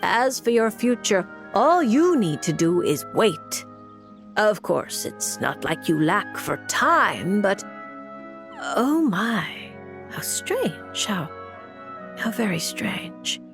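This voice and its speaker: A woman's voice: medium pitch, sing-song, takes it slowly, soft background music. A woman voicing an aloof, weary witch fortune-teller in a video game, speaking in a dry, formal and cryptic way. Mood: mystical, musing.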